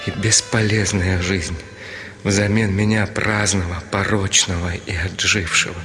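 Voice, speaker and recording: Slow-speaking anguished gentleman, music behind. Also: low-pitched. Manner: theatrical, swinging from brooding confession to outbursts. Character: anguished, proud, nervy gentleman consumed by guilt. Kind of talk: Russian radio drama acting. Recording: studio radio play with music behind.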